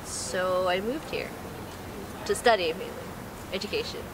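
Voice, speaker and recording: A woman's voice: on the low side, unhurried. A young woman from Malaysia, a student, answering a street interview thoughtfully, speeding up in bursts with fillers. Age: young adult. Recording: distant mic with street noise.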